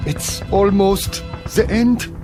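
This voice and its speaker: An actor playing an exhausted, despairing German wartime officer in a radio drama, grave, formal and emphatic. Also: male voice, high-pitched, takes it slowly, over music. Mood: desperate, doomed.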